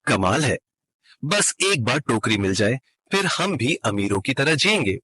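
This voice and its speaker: Man acting a husky, animated greedy husband. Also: mid-range voice, measured pace, no room sound, exaggerated voice. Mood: excited greed. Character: greedy, eager village husband in a cartoon fable. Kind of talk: cartoon moral story acting.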